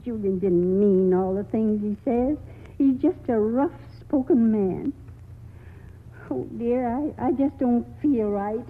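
An actress playing a fretful, wavering older housewife in a 1940s radio drama, flustered and hesitant. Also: female voice, a little high, slow pace. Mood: distressed. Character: fretful, wavering, soft-hearted old housewife. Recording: tinny, narrow old radio broadcast.

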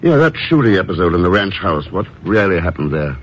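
Dry secret agent, lowish voice. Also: male voice, sing-song, a little fast. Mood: cool, probing. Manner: clipped, dry, questioning. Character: cool, probing male secret agent, dry and unflappable. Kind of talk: 1950s radio drama acting. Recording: muffled old radio recording, faint hiss.

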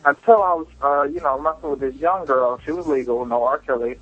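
A man's voice: fairly high pitch, fairly quick, a bit bright. Muffled young man telling candid stories. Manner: candid, self-deprecating, profane. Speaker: listener calling a podcast voicemail. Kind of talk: casual personal storytelling. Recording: muffled lo-fi voicemail with music.

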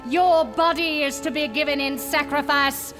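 A woman's voice: sits high, normal speed, over loud music, close to an everyday voice. An actress playing a cold, commanding female cult leader in a radio drama, solemn and declamatory in ceremonial speech. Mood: grave, merciless.

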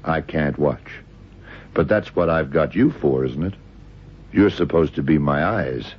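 Very deep-voiced gruff blind detective. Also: male voice, a little slow, heavily acted. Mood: bossy. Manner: terse, demanding, colloquial. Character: blind male detective, gruff, bossy boxing fan. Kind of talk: 1960s radio drama acting. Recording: dull, noisy old radio drama recording.

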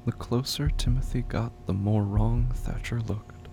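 A man narrating a scripted horror story in short, measured phrases with hushed suspense. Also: fairly even intonation, gravelly. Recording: noisy track with low rumble.

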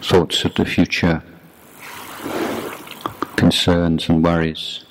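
A man guiding a meditation as a teacher, speaking in a measured, deliberate way in short phrases with long pauses. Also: sits low, completely flat delivery. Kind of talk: guided meditation talk. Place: youth retreat. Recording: clearly noisy background.

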